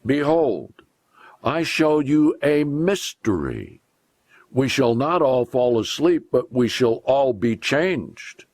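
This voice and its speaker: Older-style bible reading by a guy, slow and solemn, declamatory, very dry close sound. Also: mid-range voice, big pitch swings, darkish tone, no room sound. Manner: deliberate, emphatic, declamatory. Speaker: preacher reading scripture aloud.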